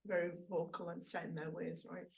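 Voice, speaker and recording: A woman in a workshop discussion, speaking in a measured, thoughtful way. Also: on the low side, level tone, creaky, darkish tone. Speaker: workshop participant. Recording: distant from the mic.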